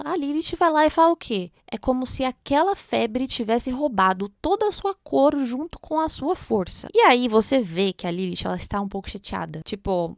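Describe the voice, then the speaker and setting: A Brazilian woman with a fairly high voice hosts a casual book discussion. She explains her points in an expressive, conversational way and often poses rhetorical questions. The sound is very dry, with almost no room around it. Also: no echo. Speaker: book commentary host. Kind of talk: casual read-along commentary with fan theories.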